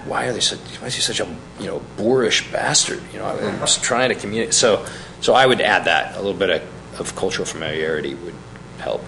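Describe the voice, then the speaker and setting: Low-voiced man on an echoey panel. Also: a bit bright, a little hiss or hum. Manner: conversational, frequent "you know" fillers. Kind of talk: panel discussion. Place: lecture hall.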